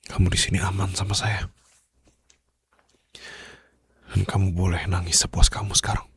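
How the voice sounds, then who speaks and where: Bos mafia bersuara sangat berat dan serak, diperankan seorang pria. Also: male voice, deep voice, level tone, no room sound, heavily acted. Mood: tender, protective. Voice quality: breathy, husky, gravelly. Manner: slow, hushed, formal and soothing, drifting into whispers. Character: brooding young male mafia boss, commanding yet tenderly protective. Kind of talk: ASMR roleplay acting, intimate monologue. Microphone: close studio microphone, dry.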